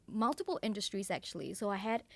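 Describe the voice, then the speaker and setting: A woman's voice: medium pitch, very animated, quick pace, vocal fry, dry recording. A young woman from Singapore, a sales coach being interviewed on a podcast, who talks in an earnest, upbeat way and often builds her points as lists. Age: young adult.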